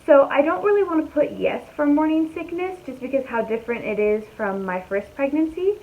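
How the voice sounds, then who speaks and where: A young woman talking casually to her viewers from home, her voice slightly raspy and hoarse, chatty and easygoing as she thinks aloud. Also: high-pitched, brisk, phone-quality sound. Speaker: vlogger. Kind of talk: casual chat to camera. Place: at home, indoors.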